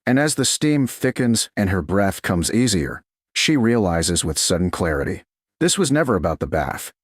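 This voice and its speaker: A man reading a slow-burn romance story aloud in a slow, hushed, deliberate manner. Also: low voice, sing-song, husky, no echo, studio quality. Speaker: narrator. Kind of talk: scripted romance narration.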